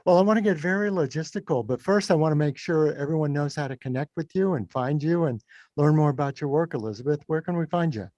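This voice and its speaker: A man's voice: on the high side, fast, a little mellow, dry recording. A man hosting an interview, asking conversational, explanatory questions in an encouraging manner. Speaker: podcast host, interviewer.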